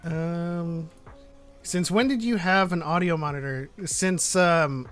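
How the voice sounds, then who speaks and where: A man with a fairly high voice hosts an online stream. He talks casually and haltingly, reading comments from viewers as he goes. Light music plays in the background. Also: a bit bright. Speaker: live stream host. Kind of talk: casual chat, reading out live chat. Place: online group live stream.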